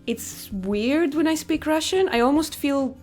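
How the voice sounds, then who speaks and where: A young woman from Greece chats with a bright, fairly high voice on a video call, as a guest in a casual interview. She is modest and chatty, and laughs easily. Soft music plays faintly behind her. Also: lively intonation, a little slow. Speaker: guest, Greek teacher.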